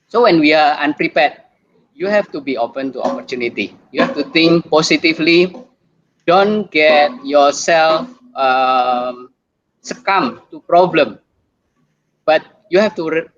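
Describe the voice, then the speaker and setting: Slow-talking Indonesian-accented man. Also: fairly high pitch. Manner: halting, repeats words while speaking. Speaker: doctor and guest speaker. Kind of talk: online presentation talk. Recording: muffled lo-fi video call.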